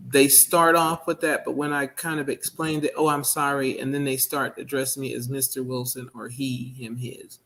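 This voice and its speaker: A man with a soft, not very deep voice, answering interview questions over a video call in a hesitant, halting way. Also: level tone. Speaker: guest, minister.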